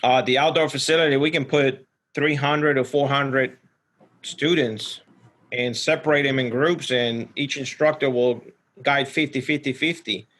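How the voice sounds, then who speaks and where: A man's voice: medium pitch, fairly even intonation, unhurried, a bit bright. A man from Ecuador speaking as a podcast guest in casual, earnest, plainspoken interview conversation. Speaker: podcast guest, security professional.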